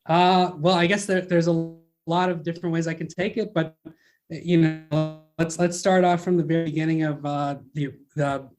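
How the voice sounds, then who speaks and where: A man from the Philippines, guest on an interview podcast, with a voice a touch higher than most men's. He talks casually and thoughtfully, pausing on fillers and restarting his sentences. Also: flat delivery, music in the background. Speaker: guest, brand founder.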